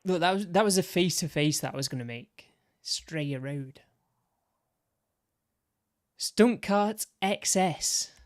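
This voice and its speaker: A man's voice: fairly high pitch, animated, takes it slowly, long pauses, no echo, studio quality. A man streaming a game, losing his voice, rambling and interrupting himself as he shows off his own builds. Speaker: gaming streamer. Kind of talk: casual commentary while showing his game builds.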